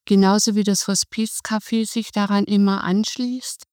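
A middle-aged woman, a guest on a podcast, calmly explaining her volunteer work in measured sentences. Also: medium pitch, smooth, no echo, clean studio sound. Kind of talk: podcast interview.

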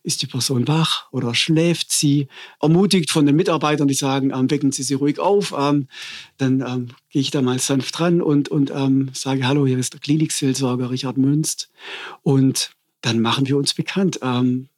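A man, speaking in a medium pitch. He answers interview questions as a hospital chaplain, thoughtful and unhurried, often pausing and hesitating to find his words. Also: steady tone. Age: 50s.

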